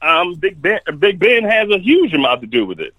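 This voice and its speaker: A man's voice: high voice, big pitch swings, moderate pace, bright, forceful tone, dry recording. A man giving blunt, opinionated takes as the guest on a casual sports chat, often restarting his sentences. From Kalamazoo, Michigan.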